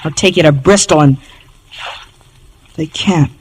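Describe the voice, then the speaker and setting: A man's voice: fairly high pitch, unhurried, slight background noise, close to an everyday voice. A male actor playing a spirited, loyal adolescent boy, earnest and emotional, in vintage radio drama style. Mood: defiant, upset. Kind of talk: 1930s-40s radio acting. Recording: old radio broadcast recording.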